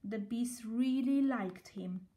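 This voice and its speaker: A woman reading a children's story aloud as an expressive storyteller. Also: fairly high pitch, takes it slowly.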